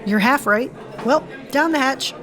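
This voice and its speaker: A woman's voice: sits high, unhurried, crowd noise. A woman acting in an audio drama as a flippant, sardonic, hard-drinking club performer. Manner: breezy, sardonic banter. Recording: loud club music behind the voice.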